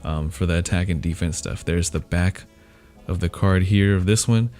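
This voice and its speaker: A man's voice: deep voice, normal speed, darkish tone, soft background music. A young American man chatting casually and telling stories to viewers in a laid-back, slangy, self-deprecating way. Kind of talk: casual rambling chat, storytelling.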